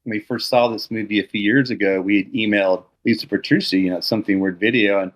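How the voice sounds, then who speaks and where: A man's voice: on the low side, fairly even intonation, quick pace. A man chatting as a guest film researcher, rambling with restarts and easy laughs. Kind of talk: casual podcast chat.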